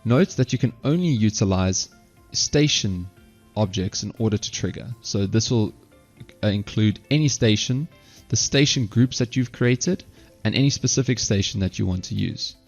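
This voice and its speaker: A man narrating an instructional software screencast step by step, halting at times and correcting himself. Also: on the low side, dull audio, faint music behind. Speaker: software tutorial presenter.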